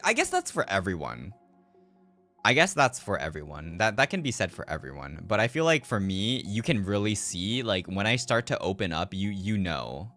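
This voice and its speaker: A man streams a casual, reflective chat in a very low voice with a bright edge. His pitch swings around a lot as he rambles and circles back over his own phrases. Music plays behind him. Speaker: virtual streamer. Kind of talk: casual chat to live viewers. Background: background music.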